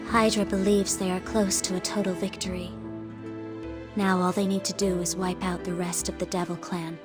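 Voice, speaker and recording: A woman's voice: fairly low pitch, natural voice. Woman playing a composed secret agent over music. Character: composed, serious female intelligence agent. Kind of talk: dramatic video game voice acting.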